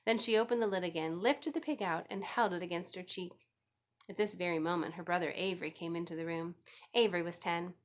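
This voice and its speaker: A woman's voice: on the low side, fairly quick. A woman narrating a children's book aloud as a scripted story reading. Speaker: audiobook reader.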